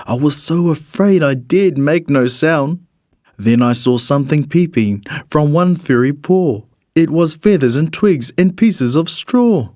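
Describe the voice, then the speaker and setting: A man reads a rhyming children's storybook in an expressive, measured storytelling manner. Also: mid-range voice, dry recording, clean studio sound. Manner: expressive, measured storytelling in the character of a bird narrator. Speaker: storybook reader.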